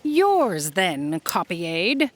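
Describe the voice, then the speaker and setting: A woman's voice: fairly low pitch, big pitch swings, extremely slow, heavily acted. A woman playing an imperious, impatient boss in a comic sci-fi audio drama, bossy, curt and dismissive. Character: imperious, impatient female editorial boss of destiny bureau.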